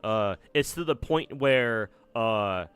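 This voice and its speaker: A man's voice: on the low side, lively intonation, slow pace, fairly bright tone, clean tone, no room sound, soft background music. A young man with an American accent, chatting casually on a livestream while gaming, rambling and swearing with many restarts. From United States. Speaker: streamer.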